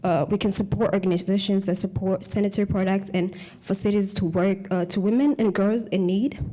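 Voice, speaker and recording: A woman's voice: mid-range voice, mellow. A young woman giving an earnest, prepared speech to an audience, often stopping to restart a word. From Congo, raised in Uganda. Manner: earnest, halting, with frequent restarts on words. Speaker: community speaker.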